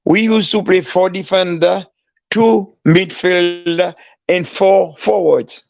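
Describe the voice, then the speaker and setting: Older man with gravelly voice. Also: high-pitched, steady tone, no echo. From Haiti. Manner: halting, restarts phrases, broken English. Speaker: guest, former player and coach. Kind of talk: casual storytelling.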